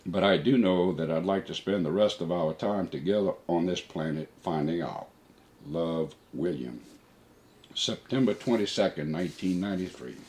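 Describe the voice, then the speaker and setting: Very deep-voiced older man. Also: moderate pace, a little mellow. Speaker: father reading letters and reflecting. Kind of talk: reading a letter aloud and personal reflection.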